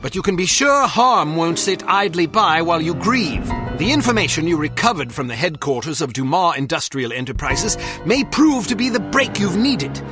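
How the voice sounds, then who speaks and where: An actor playing a formal, authoritative spy agency narrator delivering scripted game briefings. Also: male voice, a little high, big pitch swings, moderate pace, few pauses. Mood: solemn. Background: music.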